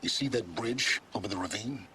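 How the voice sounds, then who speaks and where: A man's voice: fairly low pitch, fairly quick, sharp tone, slight background noise. An actor voicing a secretive, scheming male guide in a game, speaking in a clipped, dry, commanding way.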